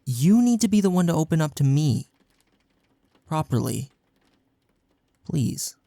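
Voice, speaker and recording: A man's voice: fairly high pitch, big pitch swings, a little slow, vocal fry, mellow, dry recording, full character voice. A young man's voice in an intimate audio roleplay, recorded very dry. He is acting as a caring college best friend speaks casually and earnestly, with a playful, teasing streak, as if to one listener. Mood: gentle concern. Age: young adult.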